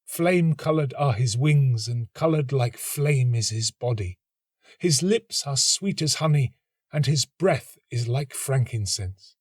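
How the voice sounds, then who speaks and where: A man's voice: medium pitch, lively intonation, measured pace, slightly rough, no echo, studio quality, pitched above the performer's own voice. A man voicing a small, selfless female nightingale in a storybook, speaking in an earnest, lyrical, passionate way. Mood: ardent tenderness. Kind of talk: storybook character acting.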